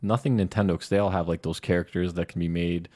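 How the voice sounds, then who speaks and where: A man's voice: deep voice, fairly even intonation, fast, a little mellow. A man talking casually as a podcast co-host. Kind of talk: casual chat.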